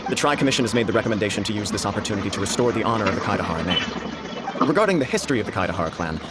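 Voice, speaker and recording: Man playing a quick-talking, courteous young nobleman. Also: on the low side, lively intonation, lightly acted. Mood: courteous, explanatory. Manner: formal, diplomatic, measured wording. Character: courteous, formal young nobleman in government service. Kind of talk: video game character acting. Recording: loud noise behind the voice.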